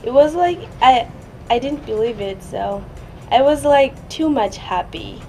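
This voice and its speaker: A teenage exchange student from Tanzania talking excitedly in halting English. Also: female voice, a little high, faint music behind, poor audio. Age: teens.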